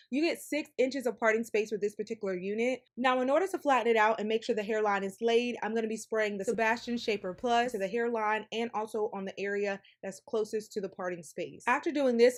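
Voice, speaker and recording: A woman narrating a wig tutorial in an upbeat, chatty voice-over, calling out to her viewers. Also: a little high. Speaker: hair vlogger.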